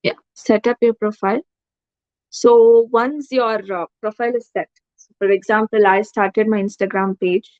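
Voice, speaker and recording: A woman's voice: medium pitch, steady tone, normal speed, a little mellow, no echo. A young woman with a Tamil-accented English voice and a cold, giving a casual slide talk with many fillers and switches into Tamil. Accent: Indian English, Tamil. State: has a cold. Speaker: alumna entrepreneur giving a talk. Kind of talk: informal presentation with slides. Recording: muffled dry sound.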